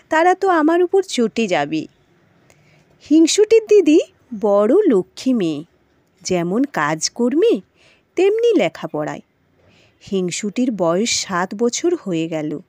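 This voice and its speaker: A woman narrates a children's tale as an expressive storyteller. Her fairly high voice swings widely for emphasis, and she takes her time over the phrases in a dramatic way. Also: no echo.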